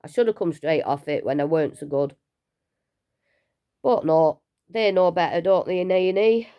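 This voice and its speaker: A woman, unwell and low on energy, talking casually and rambling a little in a personal vlog from home. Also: moderate pace, dark tone, clean tone. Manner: chatty, rambling, self-deprecating with small laughs. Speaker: vlogger sharing her cancer treatment.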